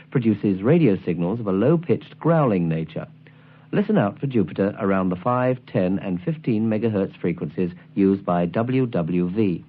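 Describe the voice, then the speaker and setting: Man narrating, lively and slightly husky. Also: lowish voice, phone-quality sound. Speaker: presenter of a shortwave listening guide. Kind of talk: scripted instructional narration.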